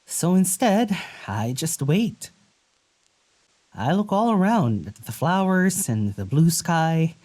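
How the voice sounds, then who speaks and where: An actor playing a gentle, wary young traveler in a naturalistic audio drama, speaking in a hesitant, musing way. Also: medium pitch, very animated, takes it slowly. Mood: awed, musing.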